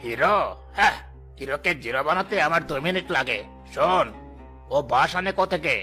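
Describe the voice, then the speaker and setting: A man's voice: on the high side, animated, a little fast, pushed and bright, faint music behind. A man playing a jealous, scheming village moneylender in a cartoon, with a menacing, boastful, commanding manner. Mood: angry, threatening. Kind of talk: animated cartoon dialogue.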